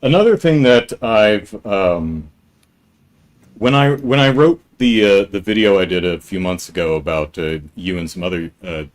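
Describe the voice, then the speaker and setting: A man hosting a live podcast, asking questions in a rambling way with many pauses and restarts. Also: deep voice. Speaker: podcast host. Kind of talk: casual live-stream interview.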